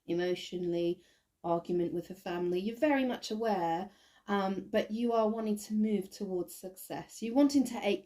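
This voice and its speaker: A woman with a croaky voice doing a casual tarot reading to camera, thinking aloud with many ums and restarts. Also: mid-range voice, mellow. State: croaky, recovering from bronchitis. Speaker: tarot reader.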